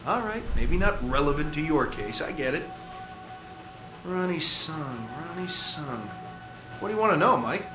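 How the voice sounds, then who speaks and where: An actor playing an unhinged, chatty rogue CIA operative in a video game, rambling with flippant, jokey menace. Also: male voice, fairly high pitch, very animated, measured pace, roomy sound, over music, low-quality recording. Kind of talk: video game cutscene acting.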